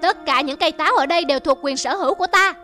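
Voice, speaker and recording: A woman's voice: high voice, rapid-fire, sharp tone. A woman voicing a greedy, boastful monkey in a children's cartoon, with a haughty, blustering manner. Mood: bossy, angry. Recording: music behind the voice.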